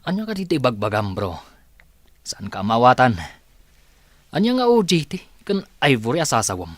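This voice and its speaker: A man's voice: mid-range voice, big pitch swings, moderate pace, no echo, studio quality. A man acting an overbearing, brusque young businessman in a Filipino radio drama, with an Ilocano accent. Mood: exasperated. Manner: brusque, scolding, exclamatory.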